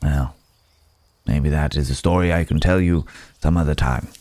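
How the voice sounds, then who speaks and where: Man voicing a very deep, mellow courteous werewolf gentleman. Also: moderate pace, dry recording. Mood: charming, apologetic. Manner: hesitant, formal, polite phrasing with soft tag questions. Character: courteous, guarded werewolf man, a grateful city-born stranger. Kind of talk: intimate ASMR roleplay acting.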